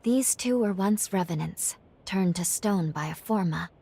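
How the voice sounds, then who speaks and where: A woman acting a gentle, solemn young female guide in a video game cutscene, speaking in a formal, earnest, measured way. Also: fairly low pitch, slightly rough. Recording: music under the voice. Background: background music.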